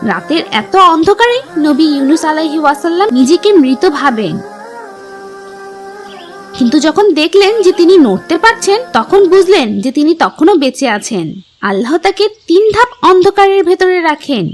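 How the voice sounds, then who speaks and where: A woman's voice: very high voice, big pitch swings, normal speed. A woman reading a scripted religious story for children in a teacherly manner, as a storyteller. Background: music.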